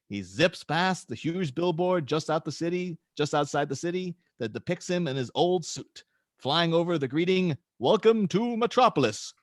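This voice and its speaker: A man's voice: fairly high pitch, dry recording, studio quality. A man reading stage directions as the narrator of a dramatic superhero script table read.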